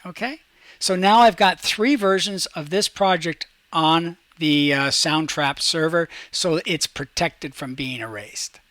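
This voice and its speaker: Male instructor, fairly high voice, very dry recording. Casual step-by-step software walkthrough for students. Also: measured pace, no echo. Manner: talks through each step while demonstrating, checks in with "okay". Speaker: teacher.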